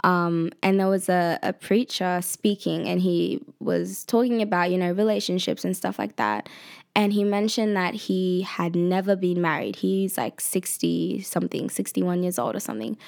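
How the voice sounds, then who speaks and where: A woman's voice: lowish voice, animated, moderate pace, vocal fry, darkish tone. A twenty-year-old woman and university student talking casually on her vlog, chatty and slangy, laughing often as she speaks. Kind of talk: casual personal vlog talk.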